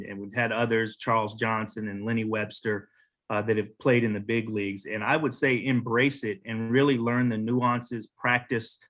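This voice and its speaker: A man giving conversational advice as a panel mentor, earnest and encouraging, with deliberate phrasing. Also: fairly low pitch, sharp tone. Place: virtual panel over video call.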